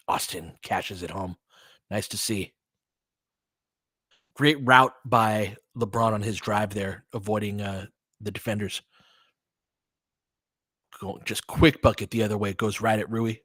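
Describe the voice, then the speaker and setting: A man's voice: lowish voice, a bit bright, husky, no room sound. A man doing casual live basketball commentary, switching between clipped play calls and conversational analysis of the game.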